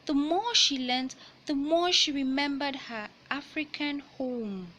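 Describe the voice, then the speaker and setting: A woman reading a children's picture book aloud in a deliberate, teacherly way, pausing to explain and repeat key points. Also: sits high, pushed and bright, a little hiss or hum. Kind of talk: read-aloud storytelling with explanatory asides.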